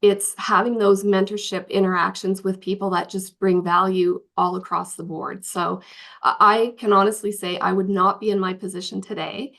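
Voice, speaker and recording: Raspy woman with a cold on a panel. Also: mid-range voice, level tone, normal speed, a bit bright. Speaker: municipal administrator panelist. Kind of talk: panel discussion.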